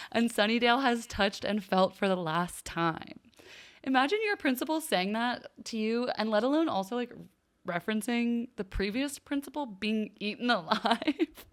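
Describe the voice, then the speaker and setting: A woman co-hosting a casual TV recap podcast, reacting in an effusive, gushing way. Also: medium pitch. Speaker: podcast co-host.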